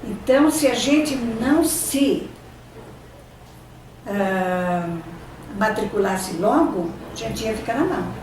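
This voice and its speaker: An older Brazilian woman whose first language was Italian, reminiscing in an interview and often pausing to search for words and restarting her sentences. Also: a little high, expressive, a little mellow, a little hiss or hum. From Brazil, São Paulo state. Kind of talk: oral history reminiscing. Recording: echoey room, distant mic, faint ambience.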